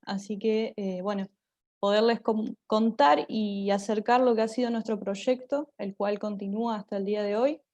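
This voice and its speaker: A woman with an Argentine accent and a soft, dark-toned voice gives an informal online presentation. She speaks haltingly, searching for words as she goes. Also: mid-range voice, steady tone. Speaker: theatre group member giving a talk.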